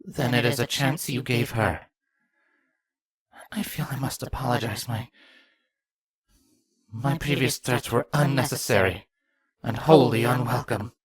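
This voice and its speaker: An actor voicing a regal, vengeful changeling queen, a grieving mother, speaking gravely and deliberately with a husky voice in a dramatic fan fiction reading. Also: mid-range voice, no echo. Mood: subdued, formal.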